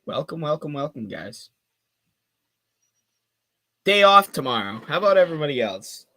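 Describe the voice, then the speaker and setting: A man of twenty giving fan play-by-play on a live stream, calling the action quickly and chatting with viewers between plays. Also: a little high, sing-song, sharp tone. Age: 20s. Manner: rapid call of the action mixed with chatty asides to viewers.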